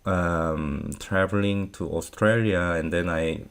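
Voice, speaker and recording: A Korean-born man, Korean his first language, talks in a very low, deep voice. He speaks slowly and hesitantly, pausing on many fillers as he answers viewer questions. His grammar is loose and non-native. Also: smooth. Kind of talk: unscripted Q&A advice talk.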